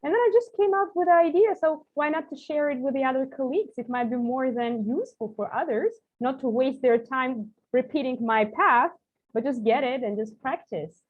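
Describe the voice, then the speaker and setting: A woman coach talks fluently and chattily through a narrow, phone-like call line. Her voice sits a little high. She explains her course ideas over a video call. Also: moderate pace. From Armenia, raised in Russia. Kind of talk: online group lesson. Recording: tinny phone-like call audio.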